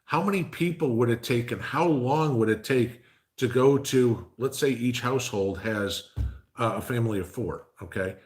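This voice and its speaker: A man's voice: mid-range voice, moderate pace. A man hosting a casual live stream, reading viewer comments and answering them in a rambling, conversational way full of fillers. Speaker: livestream host reading chat.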